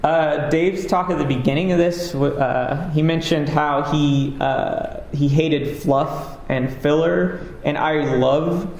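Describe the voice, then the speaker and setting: A man's voice: on the high side, steady tone, slow, gravelly, few pauses, a little mellow. A man giving a casual, rambling conference talk as a game developer, with lots of fillers and a self-deprecating manner. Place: conference stage. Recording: echoey hall with faint ambience.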